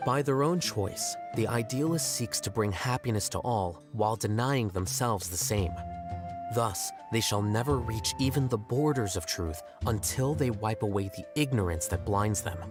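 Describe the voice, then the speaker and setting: Man playing an aloof, low-voiced scholar. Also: lightly acted. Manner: measured, detached, matter-of-fact. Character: aloof, rational young male scholar, coolly detached. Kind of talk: video game character acting.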